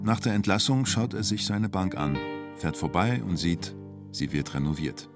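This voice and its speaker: Low-voiced male documentary narrator. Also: normal speed, loud music behind the voice.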